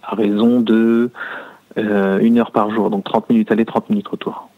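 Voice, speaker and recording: A man giving casual feedback as a customer, halting often to search for words. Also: on the low side, flat delivery. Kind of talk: casual spoken phone feedback. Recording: tinny, narrow phone line.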